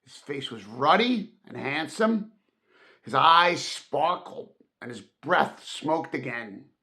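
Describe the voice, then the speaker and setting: A male narrator reads a story aloud at a slow, deliberate pace. His voice is bright and forceful, slightly high for a man, with expressive, dramatic phrasing. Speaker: audiobook narrator.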